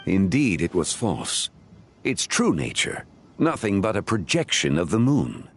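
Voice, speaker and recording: Brief: a formal, knowing elder scholar; deep and measured, over faint game music. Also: male voice, very animated, slightly rough, full character voice. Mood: calm. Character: calm ancient scholarly man, composed and knowing. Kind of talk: video game voice acting.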